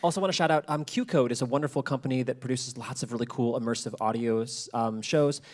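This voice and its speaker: A man's voice: mid-range voice, fast, darkish tone. A man speaking enthusiastically and casually as a podcast creator at a public talk with audience questions. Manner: enthusiastic, effusive. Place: library event with audience.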